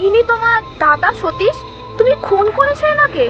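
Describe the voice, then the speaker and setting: A woman playing a panicky, bewildered young wife in a horror audio story, with a shrill voice. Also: very animated, normal speed, over loud music. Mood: panicked. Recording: dull, faintly noisy recording.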